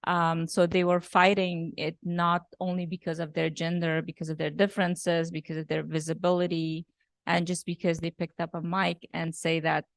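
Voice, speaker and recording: Low gravelly woman, flat delivery, dry sound, director at a Q&A, many fillers. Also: normal speed, no room sound. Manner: thoughtful, many fillers and restarts. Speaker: documentary director. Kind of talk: post-screening Q&A talk.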